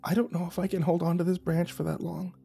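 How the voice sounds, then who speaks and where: A man's voice: sits high, lively intonation, fairly quick, darkish tone, faint music behind, pitched above the performer's own voice. A man voicing a frightened, defensive cameraman in an audio horror story, squealing and stammering through whiny protests. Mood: fearful.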